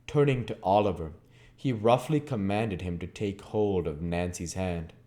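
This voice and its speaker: Low-voiced man reading a classic novel. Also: measured pace, warm tone. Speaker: audiobook reader. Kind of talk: dramatic narration of a classic novel.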